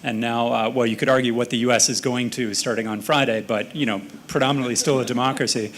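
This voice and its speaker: A man's voice: mid-range voice, fairly even intonation, fast, fairly bright tone. An American man thinks aloud on a discussion panel, reworking his phrasing as he goes. His voice sits in a middle register, and there is a faint hum of background noise around him. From United States. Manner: thoughtful, self-correcting, conversational.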